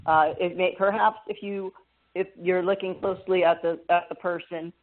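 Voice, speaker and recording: A man with a fairly high voice for a man, speaking as a guest hypnotherapist in a remote interview and explaining things in a measured, thoughtful way. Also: steady tone. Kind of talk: interview over a remote call. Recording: phone-like call line with static.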